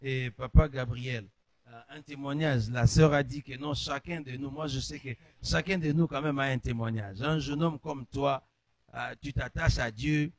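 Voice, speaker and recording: A man's voice: medium pitch, steady tone, normal speed, no room sound. Man hosting, dry sound. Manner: affirming interjections, amen and hmm. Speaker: host.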